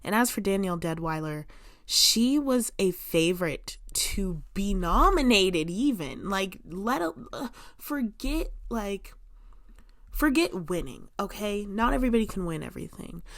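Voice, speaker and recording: A young woman chatting casually to camera as a vlogger at home, expressive, with lots of "like" fillers and sudden bursts of emphasis. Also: mid-range voice, a little slow, dry recording, clean studio sound. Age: young adult. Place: room in her home.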